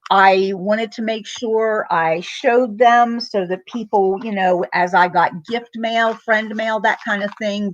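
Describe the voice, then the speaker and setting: A woman's voice: medium pitch, no echo, dull audio. A middle-aged woman chatting casually on a home live stream, rambling with many ums and greeting viewers by name. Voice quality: loud voice. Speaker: vlogger.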